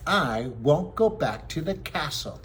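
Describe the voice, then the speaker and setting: A man plays a bratty young prince in a children's story. The voice is pushed high and loud, harsh and petulant, full of stubborn anger. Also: big pitch swings, a little slow, a little hiss or hum. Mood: explosive, defiant.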